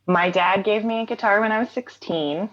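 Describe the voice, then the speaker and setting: A woman's voice: mid-range voice, moderate pace, a bit bright, highs cut off. A woman in her late thirties, a singer-songwriter guest in an interview, talking thoughtfully with frequent "um" and "like" and easy laughter. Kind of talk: reflective conversational interview.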